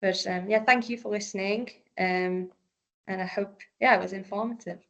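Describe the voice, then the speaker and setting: A woman's voice: medium pitch, fairly even intonation, normal speed, muffled recording. A woman presenting a webinar in a casual, hesitant way, with many fillers and restarts.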